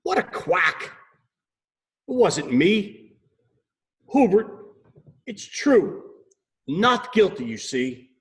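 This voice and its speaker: A man's voice: high-pitched, very animated, extremely slow, pauses often, voice pushed up, full character voice. A man voicing an indignant storybook animal who pleads innocence in a protesting sing-song manner. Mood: indignant, pleading.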